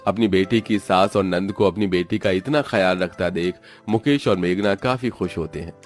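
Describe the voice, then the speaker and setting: A man with a fairly low voice reads a scripted story as its narrator. Faint music plays underneath him. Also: moderate pace. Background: background music.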